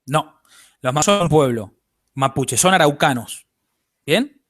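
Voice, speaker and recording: A man's voice: fairly high pitch, expressive, unhurried, a bit bright. A man with an Argentine accent, a guest author in an interview, explaining at length and checking in with his listener. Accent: Argentine Spanish. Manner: explanatory, lecturing, checks in with "¿bien?".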